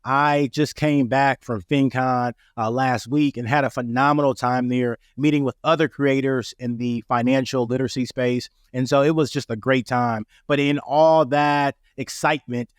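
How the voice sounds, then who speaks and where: A man hosting an instructional podcast, his voice raspy from a cold, talking in an earnest, explaining way. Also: mid-range voice, fairly even intonation, normal speed, hardly any pauses, no echo, studio quality. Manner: earnest, repeats "right?" and "that being said". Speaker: podcast host. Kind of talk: casual instructional podcast talk.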